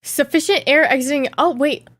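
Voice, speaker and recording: A woman's voice: sits high, normal speed, fairly bright tone. A woman streamer chatting casually, reading viewer questions aloud and reacting playfully with frequent giggles. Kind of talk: casual live Q&A chat.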